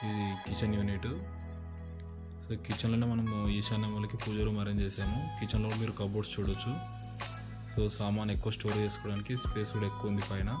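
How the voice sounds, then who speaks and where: A property agent with a Telugu Indian accent talks through a house tour. He is a man with a slightly low, very even voice and explains things matter-of-factly. Prominent music plays behind him throughout. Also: fast talker. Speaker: property agent presenting a house on his channel. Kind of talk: walkthrough narration of a house tour.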